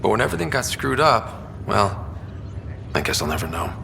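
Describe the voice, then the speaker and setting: A man's voice: fairly low pitch, sing-song, fairly quick, gravelly, exaggerated voice. A man playing a wry, wistful young soldier in a sci-fi video game, speaking in a reflective, conversational way. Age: young adult. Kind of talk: naturalistic video game dialogue acting. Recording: reverberant room, loud ambient hum behind.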